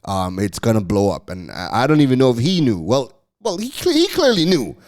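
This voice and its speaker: A man's voice: medium pitch, a little fast. Laughing, chatty man telling a story. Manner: animated, laughs often, repeats and restarts phrases. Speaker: podcast host. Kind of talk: casual storytelling monologue.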